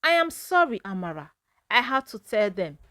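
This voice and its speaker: A woman's voice: mid-range voice, big pitch swings, moderate pace, bright, forceful tone, smooth, no room sound, studio quality. A woman voicing a timid, anxious young village girl with an apologetic manner in a storybook folktale.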